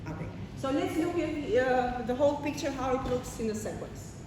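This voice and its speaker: A woman's voice: high-pitched, moderate pace. A woman lecturing a class, explaining step by step with fillers, restarts and frequent "okay?" checks, heard from far off in a large hall. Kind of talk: classroom lecture with questions to students. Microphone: far room microphone. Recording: echoey hall from far off, noisy.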